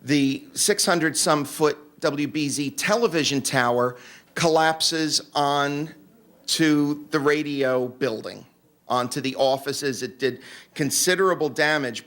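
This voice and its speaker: A man presenting to a convention audience in a relaxed, joking manner with fillers. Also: on the high side. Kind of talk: casual talk to an audience. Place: convention room.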